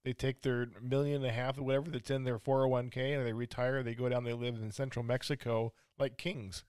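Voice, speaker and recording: A man's voice: medium pitch, flat delivery, brisk, a little mellow, smooth, no room sound. Agreeable man interviewing in a dry room. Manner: agreeable, brief backchannel replies. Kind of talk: casual conversation.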